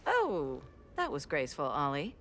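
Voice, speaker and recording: A woman's voice: low voice, wildly swooping pitch, normal speed, slight background noise, full character voice. An actress voicing a confident female vigilante who speaks dry and determined in a game cutscene. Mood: wry, determined. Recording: loud music behind.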